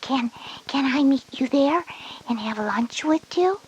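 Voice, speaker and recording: A woman playing a breathy, whispery, anxious little kindergarten girl in a children's educational audio drama. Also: high-pitched, lively intonation, unhurried, a little mellow, muffled recording. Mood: anxious, wondering.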